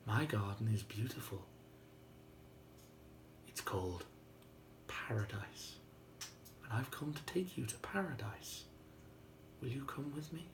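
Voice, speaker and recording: A man voicing a calm, tender, saintly little boy in a storybook tale, slow and hushed, close to a whisper. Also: medium pitch, gravelly, roomy sound, noisy background. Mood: serene, hushed.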